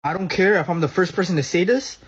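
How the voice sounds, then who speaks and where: A man's voice: high-pitched, fast, highs cut off, noisy background. A man making a short, assertive argument, posing questions. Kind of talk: short clip monologue.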